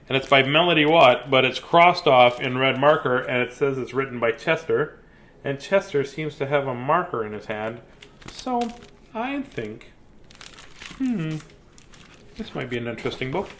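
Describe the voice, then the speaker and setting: A man's voice: mid-range voice, expressive, measured pace, a bit bright. A man hosting an informal children's read-aloud, playful and rambling, often breaking off to comment on the book. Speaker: children's story reader and host.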